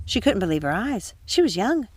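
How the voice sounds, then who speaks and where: A woman's voice: mid-range voice, sing-song, a little fast, slightly rough, dry recording. A woman reading an audiobook as a dramatic, suspenseful narrator. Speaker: audiobook reader. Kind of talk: dramatic scripted story narration.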